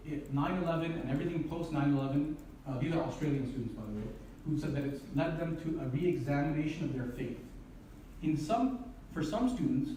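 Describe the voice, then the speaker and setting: A man from Canada gives a public lecture in a mellow, darker voice. He poses rhetorical questions and circles back over his phrases. His voice reaches the distant microphone through a very echoey hall, with faint hiss behind it. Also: fairly high pitch. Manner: earnest, repeats and restarts phrases, asks rhetorical questions.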